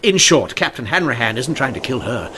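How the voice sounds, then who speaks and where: Male actor as Victorian inspector, measured, authoritative, dry wit, medium pitch, radio mystery drama. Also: very animated, noisy background, lightly acted. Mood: grave, urgent. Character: calm, dryly witty Victorian police inspector.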